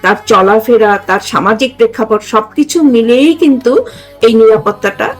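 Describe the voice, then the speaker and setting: A woman's voice: fairly high pitch, normal speed, soft background music. An older woman with a Bangladeshi accent hosting a conversational discussion, emphatic and often repeating herself to seek agreement. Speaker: talk show host, retired judge.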